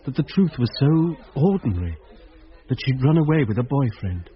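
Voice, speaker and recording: A man's voice: medium pitch, sing-song, moderate pace, lightly acted. An actor playing a troubled, reflective man who narrates his story in a radio drama. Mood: brooding, uneasy. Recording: slightly muffled radio broadcast.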